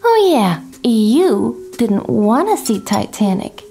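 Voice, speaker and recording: A 1950s housewife in a radio drama. The voice is on the high side and very animated, chatty with a wry, needling edge. Music plays clearly behind. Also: female voice, slow, full character voice. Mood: puzzled, teasing.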